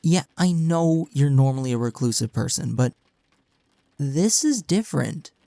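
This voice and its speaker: A man plays a gentle young male best friend in an intimate audio roleplay. His voice is mellow and warm with a creaky edge, recorded very dry and close. He speaks earnestly and frankly, offering reassurance. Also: on the high side, expressive, a little slow, no echo. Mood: frank, concerned.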